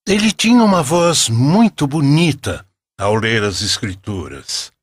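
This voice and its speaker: A man playing a solemn, kindly grandfather with a Brazilian accent and husky voice, narrating religious stories reverently in a cartoon. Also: medium pitch, very animated, dry recording, studio quality. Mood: solemn storytelling. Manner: measured, reverent. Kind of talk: scripted storytelling narration.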